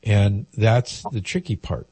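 Man, radio call-in host, slightly nasal from a cold, husky, low-pitched, dry studio sound. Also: slow pace, a little mellow, no room sound. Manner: conversational, explains at length with fillers. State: head cold, a little nasal.

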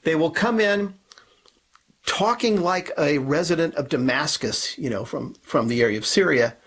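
A man with a fairly high, raspy voice talks as a podcast panelist. His style is conversational religious teaching, and he drives points home emphatically by restating them. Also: normal speed, fairly bright tone.

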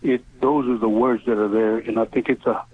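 A man in his seventies calling in to a radio show, speaking earnestly in halting, deliberate phrases over the telephone. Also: medium pitch, fairly even intonation, breathy edge. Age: 70s. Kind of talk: earnest phone-in testimony and prayer request. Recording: narrow tinny phone line.

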